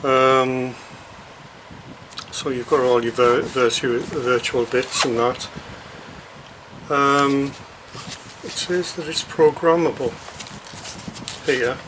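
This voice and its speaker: A man talks through an unboxing at a slow, halting pace, thinking aloud. His mid-pitched voice is matter-of-fact and unscripted. The recording has clear background noise, with packaging rustling as he goes. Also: steady tone, a bit bright, poor audio. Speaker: radio hobbyist presenting an unboxing. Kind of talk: unscripted product unboxing commentary. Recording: noticeable background noise, crinkling packaging handling.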